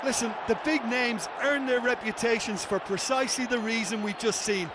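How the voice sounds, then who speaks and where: Behind a high male voice roars a very loud stadium crowd. an earnest football co-commentator in a video game, explaining tactics and play in wordy, emphatic sentences through the heavy noise. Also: moderate pace. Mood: impressed, emphatic. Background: roaring football crowd.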